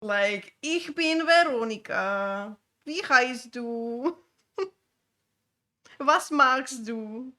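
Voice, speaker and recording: A young woman from Czechia with a Czech accent, chatting casually as she streams a game, halting with many fillers and restarts. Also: a little high, sharp tone. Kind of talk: casual gameplay chat.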